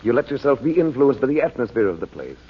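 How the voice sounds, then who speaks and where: A steady, protective magician speaks firmly and reassuringly in a husky, lively voice. It sounds tinny and narrow, like an old radio broadcast. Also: male voice, medium pitch, fast talker. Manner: calm, reassuring, firm. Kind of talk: 1940s radio acting.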